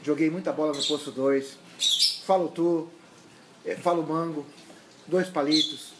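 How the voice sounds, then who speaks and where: A middle-aged man with a Brazilian accent from Santos, giving unscripted interview answers in a halting, emphatic way with repeated words. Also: a little high, fairly even intonation, noisy background. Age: middle-aged or older. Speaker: mayoral candidate and university lecturer. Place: indoors at his home.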